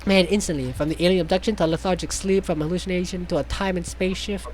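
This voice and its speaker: High-voiced male reader, hammy and sarcastic, tripping over words, faint noise behind. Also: steady tone, fast talker, low-quality recording. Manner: theatrical, sarcastic asides, stumbles over words. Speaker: host reading a visual novel aloud. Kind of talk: mocking dramatic read-aloud with ad-lib commentary.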